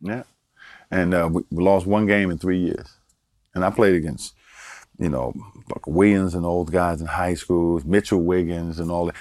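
Older man, very low voice, relaxed storyteller, dry close sound. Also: moderate pace, dry recording. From Baltimore, Maryland. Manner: relaxed storyteller, chuckles, repeats words for emphasis. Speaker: guest. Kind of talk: casual storytelling interview.

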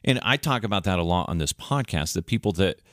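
A man's voice: sits low, lively intonation, fast talker, no echo. American man chatting on a dry, close podcast. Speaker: podcast host, former radio producer. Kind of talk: casual podcast chat.

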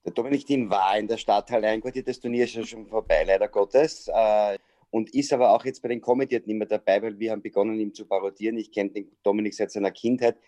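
A man's voice: on the low side, warm tone, dry recording. A middle-aged man with an Austrian accent, a sports journalist and comedian, chatting over a video call and telling stories with lots of hesitations. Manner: chatty storyteller with frequent hesitations.

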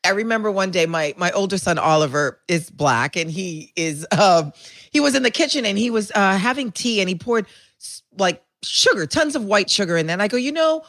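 A woman hosting a talk show, chatty and quick to react, telling anecdotes. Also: medium pitch, expressive, fairly bright tone. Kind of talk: casual talk show chat.

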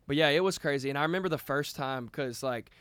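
Guy from a band rambling through a story, lots of likes, smooth clear voice, dry mic. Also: medium pitch, fast talker, a bit bright, dry recording. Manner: rambling, with many restarts and "like". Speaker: band member. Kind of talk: casual storytelling.

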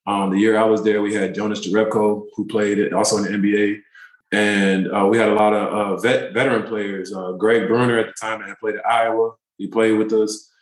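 A man in his thirties, a pro basketball player, telling relaxed, rambling stories in a casual interview. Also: on the low side, a little fast, reverberant, poor audio. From born in Turkey, raised in the DC area. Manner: relaxed, rambling, many fillers.

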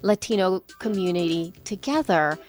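A podcaster woman from El Salvador holds forth in relaxed conversation. She talks animatedly, acting out little quoted exchanges and restarting her sentences as she thinks. Faint music sits under her voice. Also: fairly low pitch, normal speed. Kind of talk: casual conversation.

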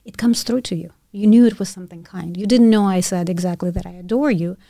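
Warm, mellow middle-aged professor woman. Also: on the low side, no echo, clean studio sound. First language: Russian. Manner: warm, measured and philosophical, often asks "right?". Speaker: professor of physics and education. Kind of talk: reflective conversation.

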